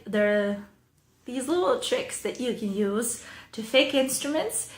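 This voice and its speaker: A woman's voice: a little high, a bit bright. Ukrainian-accented woman chatting to camera. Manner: chatty, loose, with frequent fillers and small laughs. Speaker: songwriting video host. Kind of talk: casual talk to camera with reading aloud. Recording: echoey room.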